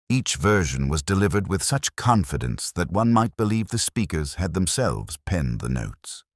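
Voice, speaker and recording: Mystery narrator: male, middle-aged, extremely low voice, measured literary delivery with wide melodic movement. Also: no echo, studio quality. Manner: measured, literary, suspenseful storytelling. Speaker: audiobook narrator. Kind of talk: scripted dramatic mystery narration.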